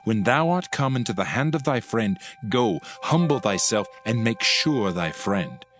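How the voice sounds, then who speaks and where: Lowish, solemn male scripture reader. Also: sing-song, measured pace, fairly bright tone. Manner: solemn, admonishing. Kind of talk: dramatised scripture reading in archaic English. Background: music.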